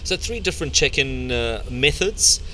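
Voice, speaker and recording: A man hosting a podcast, interviewing a guest and summarizing the guest's points in a brisk recap. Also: medium pitch, expressive, background noise. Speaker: podcast host.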